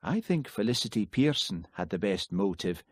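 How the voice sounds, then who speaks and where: A man doing a calm, shrewd village constable. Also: fairly low pitch, moderate pace, dry recording, studio quality. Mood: measured.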